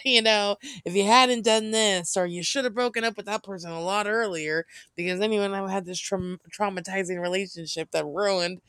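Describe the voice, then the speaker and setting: A woman's voice: mid-range voice, a bit bright, smooth, no room sound. An American woman chatting casually and candidly, in an informal, rambling way with self-interruptions and some swearing. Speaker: podcast co-host.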